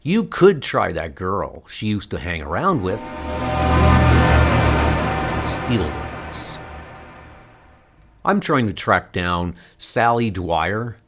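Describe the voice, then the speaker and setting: Slow, animated male comic reader. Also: low voice, loud music behind the voice. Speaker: comic book dub reader. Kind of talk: acted comic book dialogue reading.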